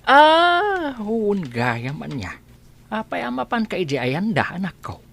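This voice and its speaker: A woman playing an elderly village father in a radio drama, tender and concerned, asking gentle questions. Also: mid-range voice, wildly swooping pitch, measured pace, gravelly, heavily acted. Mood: curious, gentle. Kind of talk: Ilocano radio comedy drama acting. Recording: noisy track with background ambience.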